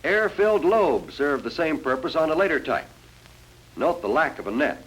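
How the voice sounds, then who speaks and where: A man's voice: a little high, lively intonation, moderate pace, slightly rough, rough recording. A man narrating a 1940s educational film in a formal, instructive, scripted manner. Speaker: narrator of a military training film. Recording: 1940s film soundtrack, faint music.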